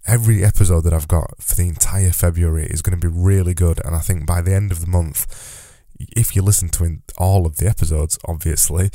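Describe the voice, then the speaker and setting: A man's voice: deep voice, steady tone, brisk, warm tone, no room sound, studio quality. A man hosting his own podcast in a solo monologue, with a slightly nasal voice, talking earnestly and repeating words for emphasis. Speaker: podcast host. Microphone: close podcast mic.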